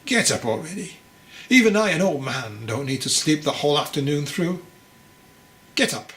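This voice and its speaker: A man voicing a stern, patient old monk who teaches in a deliberate, commanding, admonishing way. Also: on the high side, big pitch swings, a bit bright, pitched above the performer's own voice. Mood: stern, impatient. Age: older. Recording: roomy echo, clear background noise.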